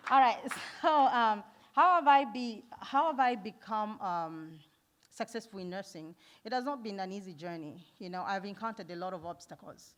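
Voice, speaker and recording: A middle-aged woman from Zambia, a nurse giving a conference talk, earnest and warm, laughing often and pausing with fillers. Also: medium pitch. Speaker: nurse and foundation founder speaking at an event. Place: event hall.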